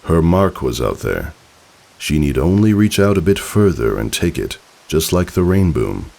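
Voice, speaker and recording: Male narrator, rock-bottom pitch, lively intonation, theatrical scripted storytelling. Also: measured pace, vocal fry. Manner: expressive, theatrical. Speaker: fan fiction narrator.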